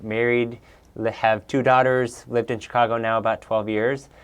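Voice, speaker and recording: A man's voice: mid-range voice, flat delivery, normal speed, clean tone. A man in his thirties, raised in Peru and Bolivia, talking casually and with enthusiasm as an interview guest, often restarting his sentences. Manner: upbeat, enthusiastic, with frequent restarts. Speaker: guest, executive coach.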